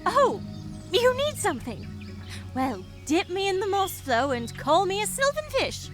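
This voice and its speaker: A woman's voice: very high-pitched, sing-song, unhurried, faint music behind, heavily acted. A woman voicing a prim, fussy female rabbit healer in a fantasy game, chatty and lecturing with a condescending manner. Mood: surprised, teasing. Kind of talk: visual novel voice acting.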